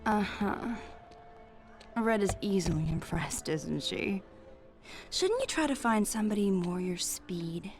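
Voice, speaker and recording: A woman's voice: mid-range voice, lively intonation, takes it slowly, music in the background. An actress playing a playful, flirty female thief in a video game, teasing in a sly tone.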